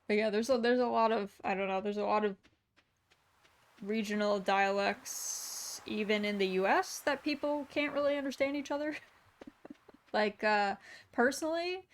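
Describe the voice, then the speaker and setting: Woman, gaming streamer, casual and rambling, light gravel in her voice. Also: on the high side. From United States. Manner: chatty, answers viewer chat aloud with many fillers. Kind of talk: casual chat while gaming.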